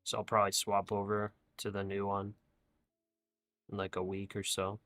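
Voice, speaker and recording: Monotone young man chatting on a trading stream. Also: on the low side, moderate pace, no echo. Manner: says his own voice is monotone; relaxed, chatty, talks to viewers as bro and dude. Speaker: livestream trading host. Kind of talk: casual live-stream chat reading viewer comments.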